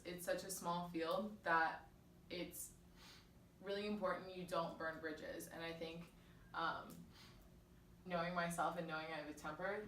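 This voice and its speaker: A woman's voice: on the low side, fairly bright tone. A young woman giving an informal class presentation as a college student in a casual, conversational way. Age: young adult. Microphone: distant microphone. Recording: distant, echoey room, faint noise.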